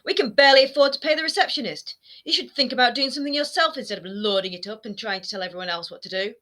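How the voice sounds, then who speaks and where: A woman voicing a spiteful, quick-tempered young man in a storybook reading, snapping and sneering as she speaks his lines. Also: on the high side, fairly bright tone, voice pushed up, natural voice. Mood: furious. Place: home room lined with bookshelves. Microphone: webcam framing, seated close to camera.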